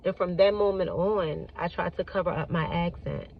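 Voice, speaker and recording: A woman's voice: lowish voice, normal speed, vocal fry, far from the mic. A woman with a Baltimore accent talking to camera from a moving car, earnest and reflective, repeating phrases for emphasis. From Baltimore, United States. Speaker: vlogger. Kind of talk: reflective casual monologue. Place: in a moving car on city streets. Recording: dull phone audio with loud road noise.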